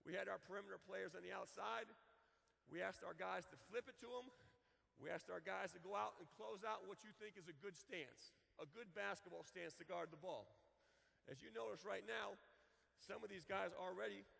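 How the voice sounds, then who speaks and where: A man with a cold, his voice hoarse and croaky, explaining defensive drills at a basketball coaching clinic. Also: high-pitched, moderate pace, pushed and bright, big-room sound. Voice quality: gravelly, croaky. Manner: explains drills plainly, repeats key words.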